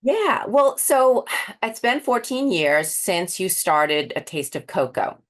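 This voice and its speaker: A woman's voice: mid-range voice, sing-song, takes it slowly. An American woman hosting a conversational interview in a chatty, rambling way. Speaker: interview host.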